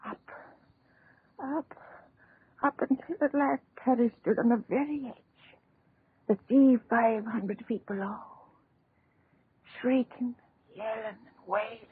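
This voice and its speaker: An aged, sorrowful mother telling a grim tale in a 1930s radio play. Her voice is very husky and breathy, halting and slow. It comes through a thin, narrow old recording. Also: fairly high pitch, steady tone, exaggerated voice. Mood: mournful dread. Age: older. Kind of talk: 1930s radio acting, dramatic narration.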